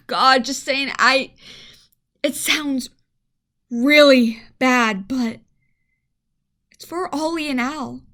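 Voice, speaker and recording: A woman acting a worried scientist and mother in an amateur radio play, speaking in an emotional, halting, conversational way. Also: high-pitched, bright, forceful tone, exaggerated voice. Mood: sad, torn.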